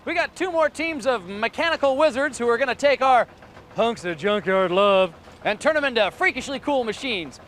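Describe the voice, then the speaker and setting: A man's voice: very high-pitched, expressive, normal speed, fairly bright tone, a little hiss or hum. A man hosting a competition show in a jokey, folksy, exaggerated way. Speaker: show host. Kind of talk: playful hosting.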